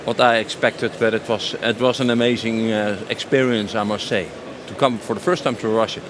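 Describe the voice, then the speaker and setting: A man's voice: lowish voice, steady tone, fairly quick. Man in his fifties, noisy location. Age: 50s. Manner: enthusiastic, emphatic, repeats phrases. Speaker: company sales director being interviewed. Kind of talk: spontaneous interview answers. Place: trade show stand. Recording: noisy busy exhibition location, clear background noise.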